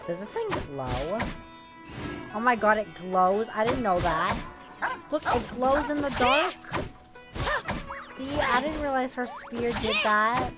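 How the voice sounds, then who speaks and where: A woman's voice: fairly high pitch, lively intonation, takes it slowly, long pauses. A woman giving casual gameplay commentary as a streamer, rambling and thinking aloud. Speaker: gaming streamer. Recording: loud game music behind. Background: game music.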